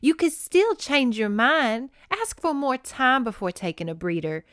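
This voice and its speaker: A woman's voice: sits high, sing-song, a little slow, fairly bright tone, clear, resonant tone, dry recording, studio quality, voice pushed up, exaggerated voice. A woman voicing a loyal, protective young lady's maid, emphatic and formal, in a romance novel reading. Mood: protective, pleading.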